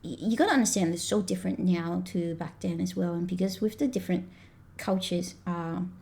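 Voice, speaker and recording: A woman's voice: on the low side, brisk, creaky, warm tone. Chatty woman with a mixed accent. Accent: mixed Australian, British and American. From Malaysia. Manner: chatty, laughing, frequent fillers and restarts. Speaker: podcast guest. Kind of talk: casual chat.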